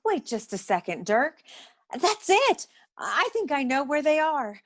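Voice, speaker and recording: A woman's voice: high-pitched, very animated, measured pace. A woman playing a practical, sympathetic detective's office associate in a noir radio play. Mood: urgent.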